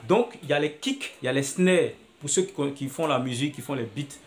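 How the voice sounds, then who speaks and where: A man's voice: medium pitch, fairly quick. A man teaching video editing on a phone app, talking step by step and repeating his actions aloud as he works. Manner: step-by-step, repetitive, thinking aloud. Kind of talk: screen-recorded app tutorial.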